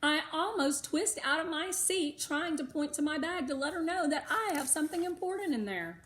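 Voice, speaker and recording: A woman with an American accent reading a storybook aloud for schoolchildren in an animated, emphatic way. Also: sits high, moderate pace. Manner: animated, emphatic, with occasional asides. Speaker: read-aloud narrator for schoolchildren. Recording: roomy, echoing indoor sound.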